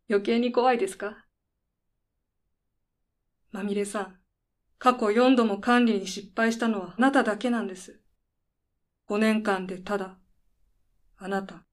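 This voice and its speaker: A woman's voice: medium pitch, close to an everyday voice. A woman playing a composed, firm female company head with formal, businesslike speech in a radio drama. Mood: controlled, menacing. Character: composed female head of a deadline-management service, losing patience. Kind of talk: radio drama dialogue acting.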